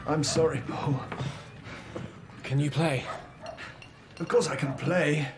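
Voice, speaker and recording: Period drama: exasperated male musician, higher-pitched, blunt, unhurried, noisy ambience behind. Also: fairly even intonation. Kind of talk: period television drama acting.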